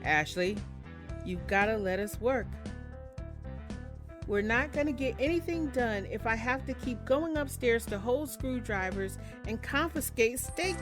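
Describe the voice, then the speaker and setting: A woman voicing a tired, stern young barista who scolds in a weary tone. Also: on the high side.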